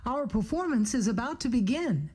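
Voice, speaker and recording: Casting: woman announcer for scripted house notices, soft mellow tone, somewhat high pitch. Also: animated, normal speed, slightly rough. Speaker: theater announcer. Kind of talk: scripted pre-show announcement.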